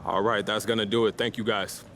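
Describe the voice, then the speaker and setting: An actor playing a confident young basketball star giving slangy, casual press conference answers in a video game. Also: male voice, on the low side, level tone, brisk, a bit bright, slight background noise. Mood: thrilled, grateful. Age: young adult. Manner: cocky, slangy, laid-back.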